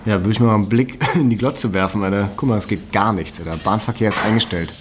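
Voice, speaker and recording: A man's voice: on the low side, fast talker, background noise, close to an everyday voice. An actor playing a cocky, pushy young man with slangy, chummy talk in a naturalistic radio drama. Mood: goading. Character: cocky, slangy young hustler pushing loot on his friend.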